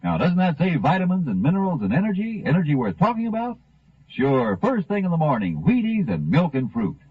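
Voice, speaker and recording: A thin, phone-like vintage radio recording of an American man selling in 1950s ad style. His fairly high, very lively voice pushes each point with folksy emphasis. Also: fairly quick, husky. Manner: folksy, emphatic salesman patter. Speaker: commercial pitchman.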